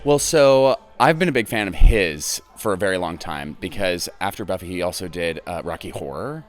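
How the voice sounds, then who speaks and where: A young man, a stage actor, talking in a relaxed, thoughtful way in an interview. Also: low voice, normal speed. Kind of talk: casual interview.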